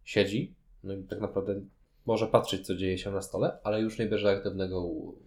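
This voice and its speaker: Podcast host, male, lowish voice, wordy and explanatory, conversational review delivery. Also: little pitch movement, moderate pace, darkish tone. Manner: explanatory, wordy, long sentences. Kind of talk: conversational board game review.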